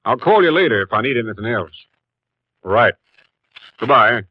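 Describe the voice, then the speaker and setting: A man playing a gruff, businesslike police captain in a 1950s radio drama, speaking tersely and weighing things sceptically. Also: medium pitch, lively intonation, normal speed, no room sound, lightly acted. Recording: muffled 1950s radio recording.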